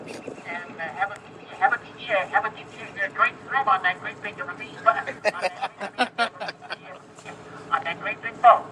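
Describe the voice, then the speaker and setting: A man's voice: high-pitched, slow pace, pauses often, pushed and bright. An older American man casually addressing tourists outdoors by a lake. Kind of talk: casual greeting called out to tourists. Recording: distant, windy outdoor recording, boat noise.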